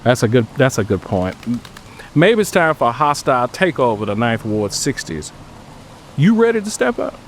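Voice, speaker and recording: A man's voice: mid-range voice, sing-song, normal speed, slight background noise. An actor playing a sly male gang boss in an audio drama, probing and scheming.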